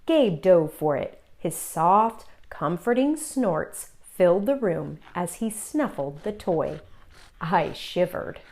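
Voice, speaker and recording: Woman reading a storybook with animated delivery. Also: lowish voice. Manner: theatrical, dramatic pauses and emphasis. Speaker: children's story reader. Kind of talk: expressive read-aloud picture-book narration.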